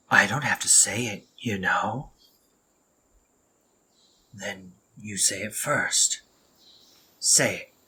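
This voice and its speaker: Man voicing a gentle stammering spider monster. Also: lowish voice, a little hiss or hum. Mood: tender. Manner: halting, stammering, slipping into soft whispers. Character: gentle remorseful male spider monster, young, protective. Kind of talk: audio roleplay drama.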